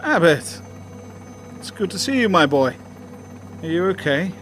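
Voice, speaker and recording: A callous army sergeant played by a man in an audio drama. He is condescending and taunting, a smug officer speaking over clear background music. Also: sits high, sing-song, measured pace, a bit bright, heavily acted. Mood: brisk. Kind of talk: amateur audio drama acting.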